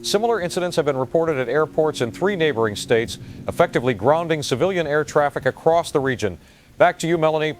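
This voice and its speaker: An actor playing a dramatic field reporter, delivering a serious, urgent scripted news report from a disaster. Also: male voice, a little high, animated, quick pace, a little hiss or hum, natural voice.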